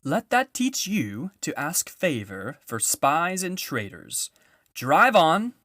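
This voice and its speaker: A man's voice: sits high, takes it slowly, dry recording, clean studio sound, pitched above the performer's own voice. A man voicing a cruel, imperious witch queen in a storybook reading, speaking in a commanding, scornful way.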